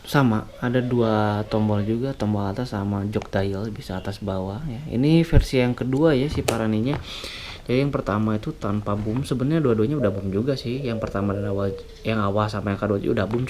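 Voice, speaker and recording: A man's voice: on the low side, brisk. A man reviewing products in a casual, jokey, unscripted way on a noisy, clicky recording. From West Java, Indonesia. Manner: jokey, chuckles often, colloquial.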